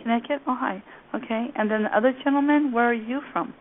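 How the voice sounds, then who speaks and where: A woman's voice: on the high side, fast talker. A woman hosting a group call, asking eager questions and saying okay often. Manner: many okays, eager questions. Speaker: host. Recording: tinny phone line with faint hiss.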